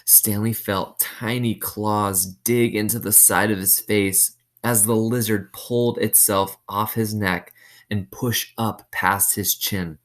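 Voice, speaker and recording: A man's voice: on the low side, fairly even intonation, slow. A man reading a novel aloud with suspense, explaining it in casual asides.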